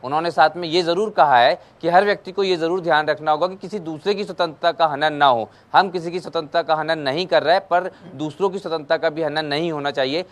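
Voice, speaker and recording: A man with a fairly high-pitched voice reports as a news correspondent. He explains and sums up developments in a live report. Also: quick pace, few pauses.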